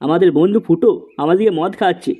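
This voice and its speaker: A man's voice: sits high, very fast, narrow, tinny audio. A man voicing an eager, chummy drinking buddy in a comedy cartoon. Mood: grateful.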